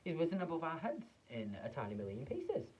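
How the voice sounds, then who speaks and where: A man's voice: a little high, huge pitch swings, brisk, a little mellow, muffled recording, a little hiss or hum, pitched above the performer's own voice. Man doing a blunt, sardonic father. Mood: gruff.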